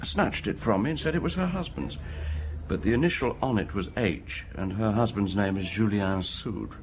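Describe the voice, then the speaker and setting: A man's voice: on the low side, moderate pace, a little hiss or hum, lightly acted. An actor playing a diligent local policeman in a radio drama, reporting in a dry, matter-of-fact way. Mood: dry, confiding.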